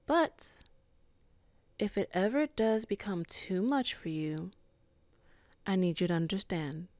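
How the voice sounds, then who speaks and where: A woman's voice: fairly low pitch, no room sound. Woman playing a cool composed office boss. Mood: teasing. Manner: calm, measured, softly flirtatious. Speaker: ASMR roleplay performer. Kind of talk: ASMR roleplay.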